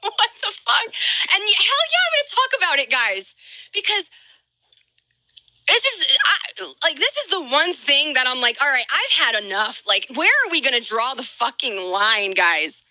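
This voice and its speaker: A woman's voice: high-pitched, sing-song, normal speed, pushed and bright, dry recording, poor audio. A woman streamer ranting casually to her viewers, exasperated and emphatic, with some swearing. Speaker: live streamer.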